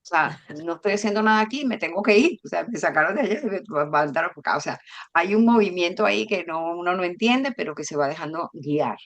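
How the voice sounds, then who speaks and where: A woman born in Venezuela and raised in Madrid, teaching a spiritual workshop over a video call in an expressive, storytelling way. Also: lowish voice, fast talker. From Venezuela and Spain. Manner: expressive, warm storyteller who acts out dialogue. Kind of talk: informal spiritual talk.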